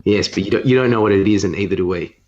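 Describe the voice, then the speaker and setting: Podcast guy giving opinions, casual chat, kinda low voice, slightly lo-fi sound. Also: racing, a little mellow. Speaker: podcast co-host.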